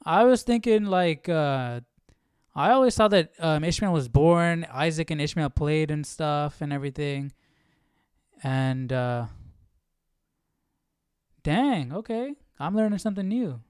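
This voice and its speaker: A man's voice: on the high side, animated, unhurried, long pauses, a little mellow, smooth, no room sound, studio quality. A man hosting a Bible study stream, chatting casually and musing with questions as he thinks aloud. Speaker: livestream host of a Bible study.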